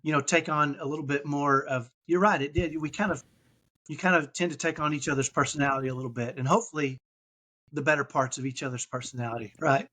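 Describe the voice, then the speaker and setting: A man's voice: on the high side, steady tone, quick pace, sharp tone. A man from Texas with a slight Texan accent, a podcast guest telling his story in casual chat with many restarts and fillers.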